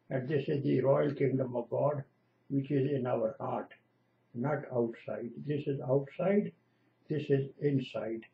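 An older man, a retired lecturer whose first language is Punjabi, slowly and earnestly expounding scripture at home. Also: mid-range voice, creaky. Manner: slow, earnest, lecturing, repeats lists of divine names. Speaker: retired lecturer giving Bible exposition. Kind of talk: home-video scripture teaching. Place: small room indoors at home. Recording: dull lo-fi webcam audio.

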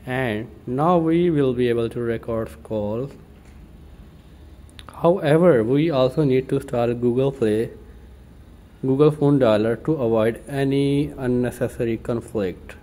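A man presenting an informal step-by-step tech tutorial in a halting way, thinking aloud as he works. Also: medium pitch. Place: indoors at a computer desk. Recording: steady room noise.

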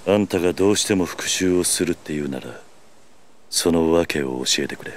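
A man's voice: low voice, slight background noise, natural voice. An actor playing a stoic, principled tough man in a video game drama, speaking tersely and firmly. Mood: stern, calm. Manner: terse, firm, measured. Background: background music.

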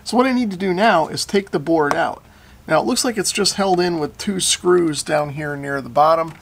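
A man's voice: on the high side, moderate pace, vocal fry, slight background noise. An American man in his forties giving a plain-spoken, methodical repair tutorial as a channel host in a small workshop. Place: small indoor workshop with concrete walls.

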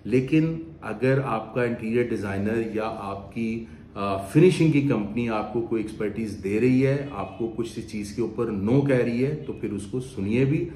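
A man's voice: medium pitch, unhurried, dark tone, a little hiss or hum. A man in his forties with a Pakistani Urdu accent, seated at an office desk and earnestly explaining renovation advice to camera in a conversational way. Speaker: renovation company owner. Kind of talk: direct-to-camera advice talk. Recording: echoey room with faint ambience.

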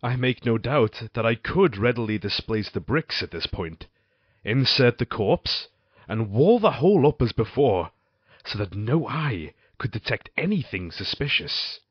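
A man's voice: mid-range voice, sing-song, unhurried, breathy edge, no echo, lo-fi sound. A man playing a tormented, violent-tempered husband in a Gothic horror audio drama, with brooding, theatrical, archaic delivery. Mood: brooding anguish.

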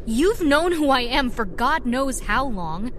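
An actress playing a feisty young nurse turned vigilante in a radio drama, teasing and challenging with sardonic wit. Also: female voice, high-pitched, lively intonation, takes it slowly, a bit bright, full character voice. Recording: faint background noise.

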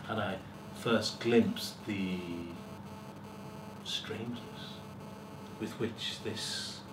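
Male reader, middle-aged, for live short fiction: theatrical, measured delivery in an echoey room, distant mic, slight noise. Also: on the low side. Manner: theatrical, deliberate, with pauses and swelling emphasis. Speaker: story reader at a live festival. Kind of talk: dramatic first-person story reading. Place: small lecture room with seated audience. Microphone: far camera. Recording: roomy, distant camera mic, faint hiss.

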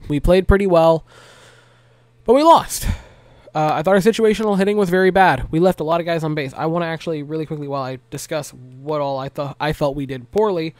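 A young man with a stuffy nose recapping a game for his channel, listing stats and giving his fans an animated pep talk from a small room at home. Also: on the high side, a little fast, no room sound. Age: young adult. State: congested, nasally stopped up. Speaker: sports recap youtuber. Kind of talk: solo game recap vlog. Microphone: large desk mic close to mouth.

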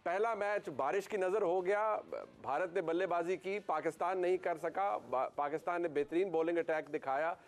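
High-voiced male studio sports host. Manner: fluent, formal welcoming host with many fillers in long questions. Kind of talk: studio broadcast presenting.

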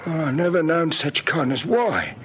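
An actor playing an elderly, poor, humble man in a radio drama, rambling and halting in rustic ungrammatical speech. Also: fairly high pitch, sing-song. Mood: puzzled, touched. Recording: noisy old radio drama recording.

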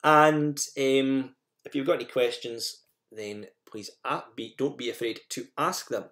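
A man's voice: mid-range voice, steady tone, unhurried, fairly bright tone. A man presenting a language lesson in an informal, instructional manner, guiding learners through slides and examples.

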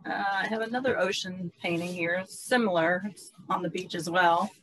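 Middle-aged female artist, informal explainer, noticeably gravelly voice. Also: on the low side, normal speed, a bit bright, slight background noise, poor audio. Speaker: painter showing her work. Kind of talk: casual explanation of her paintings. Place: indoor studio room on a video call.

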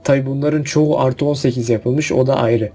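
A man's voice: medium pitch, steady tone, moderate pace. A man narrating a scripted countdown review. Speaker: video narrator. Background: background music.

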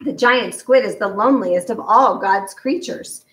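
A woman voicing a kindly, eccentric older doctor in a storybook, speaking in a measured, formal, gently directive way. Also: medium pitch, close to an everyday voice. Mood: wistful. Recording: echoey room, distant mic.

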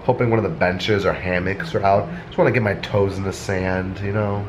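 A man's voice: deep voice, fairly even intonation, a little fast. A young man in his twenties, congested from a sinus infection, chatting casually and a little tired as he vlogs at home and at a resort at night. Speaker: vlogger. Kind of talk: casual vlog chat. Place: apartment at home, then outdoors at a resort at night. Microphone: handheld camera at arm's length. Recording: noisy handheld camera audio.

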